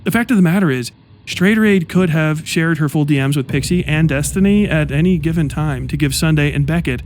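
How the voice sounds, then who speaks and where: A man giving scripted commentary narration, walking through a timeline in a measured, explaining manner. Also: fairly high pitch, darkish tone, slight background noise, rough recording.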